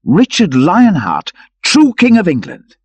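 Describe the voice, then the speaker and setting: A man's voice: high voice, wildly swooping pitch, slow pace, slightly rough, dry recording, dull audio, pitched above the performer's own voice, heavily acted. A man voicing a bold, loyal outlaw leader in an audiobook, proud, hearty and declamatory. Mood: awed, exultant. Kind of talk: dramatic audiobook character voice.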